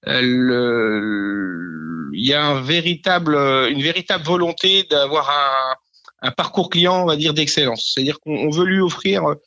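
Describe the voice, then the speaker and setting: A man in his forties from Brittany talks through his career in a halting way, stacking pauses as he searches for words. His voice is bright and forceful. The recording is dull and lo-fi, dry with no room sound. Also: on the high side, no echo. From France, Brittany. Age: 40s. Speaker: real estate franchise owner, guest. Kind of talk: podcast interview answers.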